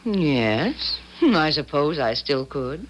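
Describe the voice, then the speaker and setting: An actress playing a cunning she-devil who bargains for souls in a 1970s radio drama, deliberate and coolly menacing. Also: low-pitched, big pitch swings, exaggerated voice, rough recording. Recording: 1970s radio broadcast recording.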